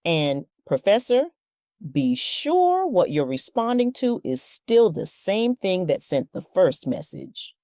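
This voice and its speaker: A woman's voice: medium pitch, big pitch swings, takes it slowly, dark tone, dry recording, clean studio sound. A woman playing a stern, cautious director in a sci-fi audio drama, speaking with commanding authority. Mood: stern, wary.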